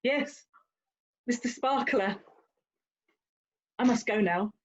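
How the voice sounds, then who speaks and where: A woman's voice: fairly high pitch, slow pace, highs cut off. A woman playing a haughty, worldly young lady in a period drama, with an emphatic, condescending, theatrical delivery. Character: haughty, worldly young English lady, teasing older sister. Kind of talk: stage-style period drama dialogue.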